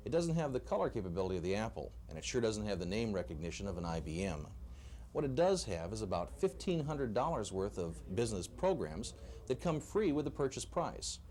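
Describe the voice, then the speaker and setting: A man's voice: lowish voice, darkish tone, slight background noise. A man narrating a scripted TV news report on an archival 1980s broadcast.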